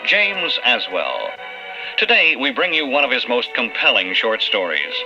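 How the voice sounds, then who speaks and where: Emphatic male radio announcer, very lively pitch. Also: a little slow, music in the background. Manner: formal, emphatic. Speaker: announcer and host. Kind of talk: old-time radio scripted narration. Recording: muffled old radio broadcast.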